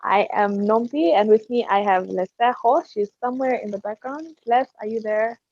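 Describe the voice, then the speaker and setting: A woman's voice: a little high, moderate pace. A woman hosting an online panel chat, friendly and a little teasing, with some hesitant ums. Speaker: podcast host. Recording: dull lo-fi dry call audio.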